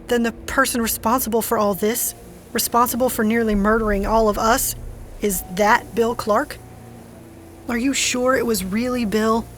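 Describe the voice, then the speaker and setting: A blunt, distrustful woman with a fairly high voice, played by an actress in an audio drama. She is firm and unforgiving, with light noise in the background. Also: fairly even intonation, normal speed, a bit bright, close to an everyday voice. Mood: questioning.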